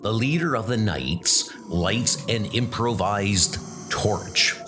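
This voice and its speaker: Male narrator reading an old comic aloud, slow and animated, music behind. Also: lowish voice. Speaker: comic reader.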